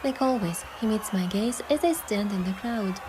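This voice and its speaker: A woman voicing an excitable, playful young heroine in love, in a romance game with first-person storytelling. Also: medium pitch, moderate pace, noisy background, natural voice. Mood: narrating, calm. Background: music.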